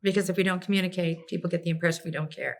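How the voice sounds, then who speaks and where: A woman hosting an interview, asking questions and cheering her guest on with quick affirmations. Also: lowish voice, steady tone. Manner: eager, affirming, leads with questions. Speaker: interview host.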